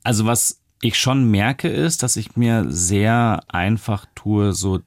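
A man's voice: lowish voice, slow, dry recording, studio quality. A man from Germany, raised bilingual in German and Japanese, talking in detail and casually as a chef in an interview.

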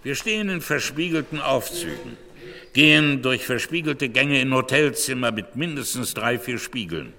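A man's voice: mid-range voice, very animated, normal speed, sharp tone. An actor playing an earnest male cultural critic who delivers a bleak monologue in a rhetorical, emphatic way, as in a radio drama. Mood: earnest, urgent. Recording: long hall reverb.

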